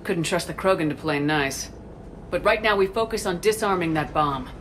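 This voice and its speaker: A woman's voice: on the low side, moderate pace, a bit bright, a little hiss or hum, close to an everyday voice. An actress playing a firm, clipped female commander. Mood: commanding. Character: hard-edged female human commander. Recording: game score behind.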